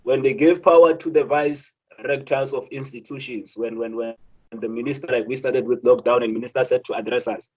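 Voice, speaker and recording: A man's voice: mid-range voice, steady tone, a little fast, darkish tone, dull audio. A young South African man speaking earnestly, with many repeated words and restarts, as a student representative. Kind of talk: impassioned spoken talk.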